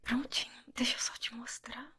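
A young Brazilian woman whispers soothingly in an ASMR roleplay as an optician, breathy and close to the microphone. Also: a little high, steady tone, moderate pace, bright, forceful tone. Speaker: ASMR creator playing an optician. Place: small indoor room. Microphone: close desktop binaural microphone with foam cover.

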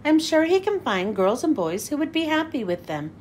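A woman's voice: high voice, sing-song, moderate pace, darkish tone, a little hiss or hum. Solemn, kindly lion king, read by a woman.

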